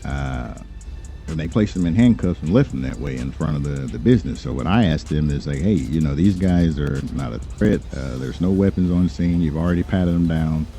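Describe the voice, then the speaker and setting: A man role-playing as a lawyer in a game, speaking in a measured, formal, halting way. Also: a bass voice, expressive, dark tone, faint music behind. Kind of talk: improvised in-character role-play talk.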